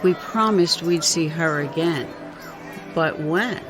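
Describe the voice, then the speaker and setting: Music plays behind a woman reciting rhyming poetry. Her voice sits on the low side, and she takes the lines at an unhurried, rhythmic pace. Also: a crowd in the background. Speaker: writer reading own work.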